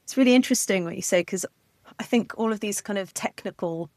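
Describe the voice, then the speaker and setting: A woman's voice: medium pitch, quick pace, a little mellow, no room sound. A woman hosting a podcast interview, asking questions in a reflective way and thinking aloud. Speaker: podcast host.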